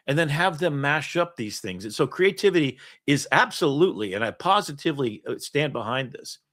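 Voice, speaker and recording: A middle-aged man, the host, telling rambling stories in casual chat, laughing often. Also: medium pitch. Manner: storytelling, animated, laughs often. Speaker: podcast host.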